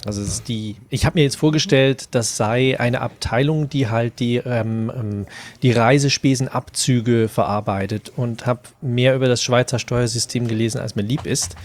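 A man's voice: medium pitch, steady tone, normal speed. A man who writes audio dramas, chatting casually on a podcast panel. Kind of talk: casual group chat.

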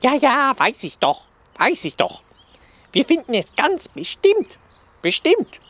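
A woman playing a boastful, scatterbrained male crow in a German radio play, repeating phrases in an excitable bird manner. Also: high-pitched, slow pace, no echo, exaggerated voice. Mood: eager, placating. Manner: repeats phrases, squawking interjections.

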